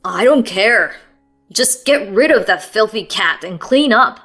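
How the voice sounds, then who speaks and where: A woman voicing an angry, domineering husband who shouts harsh commands in a storybook narration. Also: mid-range voice, expressive, unhurried, faint music behind. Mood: furious.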